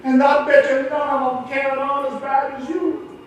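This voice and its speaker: A middle-pitched man's voice, a steady, blunt older chauffeur, heard from a distance with strong echo and faint noise. He speaks plainly and patiently. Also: moderate pace. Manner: blunt, patient, dialect speech. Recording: echoey film audio from far off.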